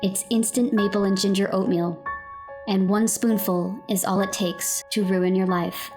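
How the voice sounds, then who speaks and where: Actress as a grave ad announcer. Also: female voice, medium pitch, fairly even intonation, unhurried, music in the background, close to an everyday voice. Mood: solemn warning. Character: solemn female announcer in a parody scare ad. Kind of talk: mock public-service ad narration.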